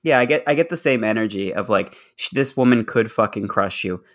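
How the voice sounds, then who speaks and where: A man's voice: on the low side, a little fast. A man co-hosting a podcast in casual recap chat, rambling and joking with blunt swearing. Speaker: podcast co-host.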